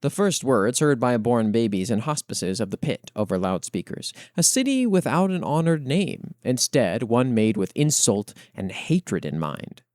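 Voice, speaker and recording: A man hosting a podcast, reading written fiction aloud in a measured, expressive storytelling way and adding his own commentary. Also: lowish voice, no echo, clean studio sound. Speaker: podcast host.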